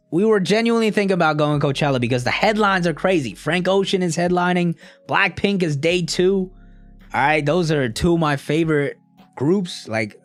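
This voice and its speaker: A man's voice: a little high, no echo. A young man casually chatting with a live stream audience in a laid-back, slangy, rambling way. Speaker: streamer answering live chat.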